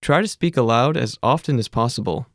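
A narrator giving measured, scripted instructions in a language course. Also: medium pitch, dry recording, clean studio sound. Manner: even, measured teacherly instructions.

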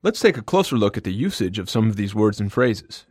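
A co-host in a scripted language lesson, explaining word meanings in short lively lines. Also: medium pitch, a little fast, husky.

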